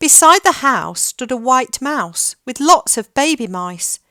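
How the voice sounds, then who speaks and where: A woman reading a children's picture book aloud in a gentle, expressive storytelling manner. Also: high voice, a little slow, no echo, clean studio sound.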